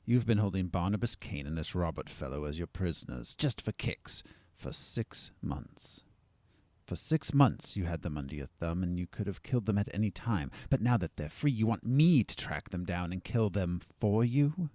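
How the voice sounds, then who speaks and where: Ambitious doctor schemer in audio drama, low voice, pointed and sure of himself, dry recording. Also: male voice, lively intonation, dark tone, no echo. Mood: incredulous. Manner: measured, pointed, self-assured. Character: ambitious, self-possessed scheming doctor bargaining with villains.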